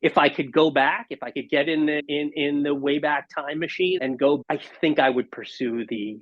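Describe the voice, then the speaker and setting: A man's voice: a little high, steady tone, moderate pace, no room sound, muffled recording. A man speaking as a podcast guest, reflective and earnest, encouraging in his way of talking. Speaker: podcast guest, marketing executive. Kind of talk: reflective interview conversation.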